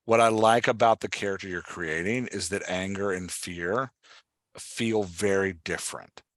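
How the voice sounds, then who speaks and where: A man's voice: low voice, normal speed, fairly bright tone, no room sound. A man coaching someone in a casual one-on-one talk, instructive and prodding with questions. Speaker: podcast host and dialogue writing coach.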